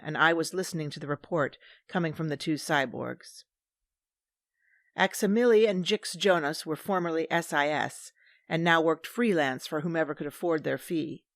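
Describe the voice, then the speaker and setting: Gravelly, cold, scheming commander. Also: female voice, low-pitched, normal speed, no echo. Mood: measured narration. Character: cold, scheming vengeful woman, former Sith commander. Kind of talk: audio drama narration and dialogue. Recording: dry studio with music underneath.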